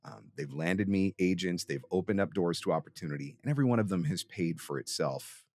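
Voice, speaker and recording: A man's voice: deep voice, expressive, a little fast, a little mellow. A man hosting an interview as a voiceover coach, giving conversational advice in an emphatic, explanatory and encouraging way.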